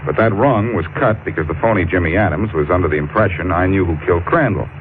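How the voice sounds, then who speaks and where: Guy playing cool wisecracking detective, lowish voice, brisk, crackly tinny old radio. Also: over music, lightly acted. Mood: wry, relaxed. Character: shrewd, composed, wisecracking male amateur detective. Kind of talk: 1940s radio acting. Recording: tinny, noisy 1940s radio recording.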